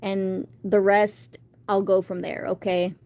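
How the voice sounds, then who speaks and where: A woman's voice: medium pitch, little pitch movement, slow pace, a little mellow, a little hiss or hum. A woman in her thirties chatting casually on a live stream, rambling about her day and answering viewers. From United States. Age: 30s.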